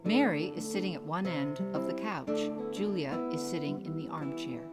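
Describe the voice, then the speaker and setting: A woman's voice: deep voice, normal speed, loud music behind the voice. A woman reading out stage directions as scripted narration for a play.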